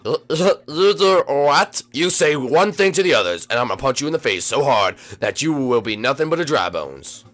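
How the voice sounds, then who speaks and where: A man putting on a hulking turtle thug with a low, grumbling voice. He drawls his lines slowly and turns threatening at a moment's notice, with faint music behind. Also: animated, bright, forceful tone, heavily acted. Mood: gruff. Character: gruff lazy male turtle brute.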